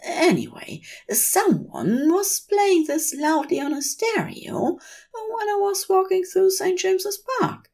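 Audio story role: fussy, gentle male angel , done by a woman, high-pitched, prim and eager to please. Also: animated, takes it slowly, creaky, full character voice. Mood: proudly explaining. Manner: prim, fluttery, anxious to please.

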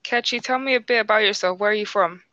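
Young woman, grew up in England, podcast host, relaxed casual chat, crisp bright voice, dry sound. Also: mid-range voice, fast talker, no room sound, muffled recording. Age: young adult. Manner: relaxed, many fillers like "like".

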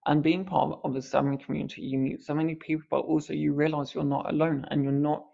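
Brief: male podcast host, earnest, slight stammer, flat and gravelly delivery, lo-fi audio. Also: fairly high pitch, quick pace. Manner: earnest, mild stammer on odd words. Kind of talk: reflective podcast commentary.

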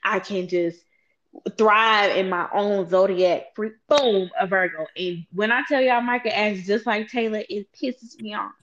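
Lively woman in her twenties, lo-fi. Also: medium pitch, measured pace. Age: 20s. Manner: animated, playful teasing, talks to viewers directly. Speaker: host. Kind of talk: casual chat.

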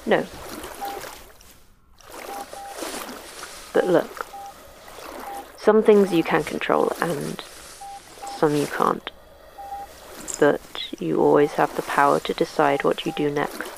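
A woman's voice: low-pitched, fairly even intonation, slow, creaky, pauses often, slight background noise, exaggerated voice. A woman playing a terse, battle-worn veteran in an audio drama, firm and steadying in manner. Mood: sober, reassuring. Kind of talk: audio fiction acting.

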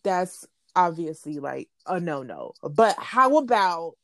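A woman's voice: fairly low pitch, big pitch swings, slow, a bit bright, no room sound. A woman, co-hosting a casual chat, frank and pressing with her questions. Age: late 20s. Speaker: podcast co-host.

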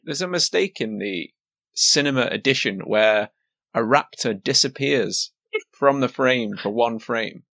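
A man's voice: mid-range voice, measured pace, a bit bright. A man co-hosting a podcast, easygoing and sharing film trivia in casual chat. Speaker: podcast co-host.

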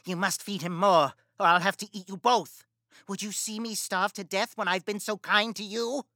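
A woman's voice: low-pitched, expressive, a little slow, sharp tone, dry recording, studio quality, pitched below the performer's own voice, full character voice. A woman voicing a cruel, greedy old witch who scolds and sneers in a storybook reading. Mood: angry.

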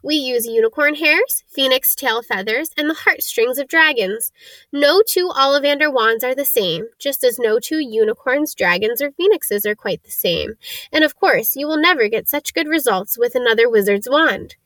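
A woman voicing an eerie, keenly knowing old male wandmaker from a storybook, soft-voiced and deliberate, with excitable exclamations. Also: sits high, no room sound, pitched above the performer's own voice. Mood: businesslike, brisk. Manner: deliberate, clipped listing of details, exclamatory greetings. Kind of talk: storybook character reading.

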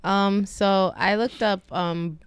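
Smooth-voiced young woman, reflective and casual. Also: medium pitch, takes it slowly. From United States. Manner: relaxed, reflective, many fillers. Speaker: podcast co-host. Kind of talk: casual conversation.

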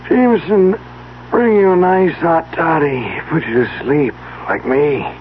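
Actor playing a groggy, muddled drunk. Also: male voice, a little high, lightly acted. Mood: groggy, confused. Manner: halting, slurred, rambling. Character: groggy, muddled drunk man, drugged husband type. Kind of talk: 1970s American radio drama acting. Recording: tinny, noisy old radio recording.

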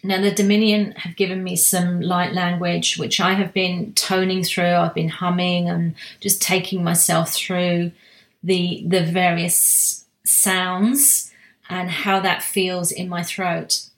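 A woman giving a slow, reflective spiritual talk, soft-spoken, with long pauses and restarts. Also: fairly low pitch, level tone, reverberant. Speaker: spiritual guide sharing monthly energy reading.

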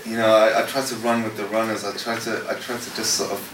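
Low-voiced hesitant man in an echoey hall. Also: flat delivery, quick pace. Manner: hesitant, many fillers and restarts. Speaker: worship leader leading a panel session. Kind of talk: unscripted talk answering questions. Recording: echoey room, distant mic, noisy.

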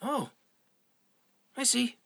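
A man's voice: very high-pitched, very slow, vocal fry, pushed and bright, no echo, studio quality, full character voice. An actor playing an awkward, eager male cold-case detective who stammers.

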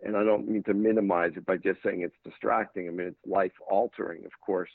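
A man's voice: low voice, fairly even intonation, fairly quick, no echo. A man with an American accent, a talent manager, giving thoughtful answers in a casual interview with frequent restarts. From England. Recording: dry, tinny phone-like line.

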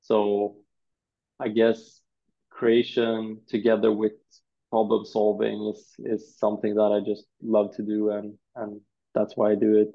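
A Swedish man in his thirties, a designer answering interview questions in a thoughtful, halting way, often repeating words. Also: on the low side, completely flat delivery, dull audio. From Sweden.

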